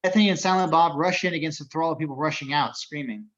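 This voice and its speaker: Muffled, higher-pitched man reading stage directions. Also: fast. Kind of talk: scripted narration in an amateur table reading.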